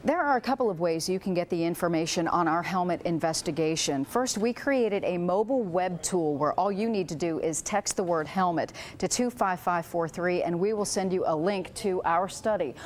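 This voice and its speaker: A woman's voice: fairly low pitch, normal speed, hardly any pauses, a little hiss or hum. A woman with an American accent delivering scripted news narration as an investigative television reporter.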